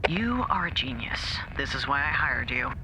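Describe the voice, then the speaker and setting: A man acting in an audio drama as a practical technical team leader, brisk and matter-of-fact over a radio. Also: mid-range voice, sing-song, background noise.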